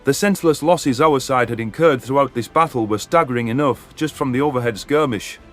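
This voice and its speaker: A man's voice: mid-range voice, a little fast, faint music behind, natural voice. A man playing a grieving, vengeful alien soldier who narrates a sci-fi war story in earnest, formal, articulate language. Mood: grim, intent. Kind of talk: first-person sci-fi story narration and dialogue.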